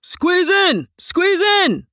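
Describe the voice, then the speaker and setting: A man's voice: very high voice, big pitch swings, very slow, sharp tone, dry recording, clean studio sound, exaggerated voice. A woman doing a bellowing train conductor man. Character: loud harried male train conductor.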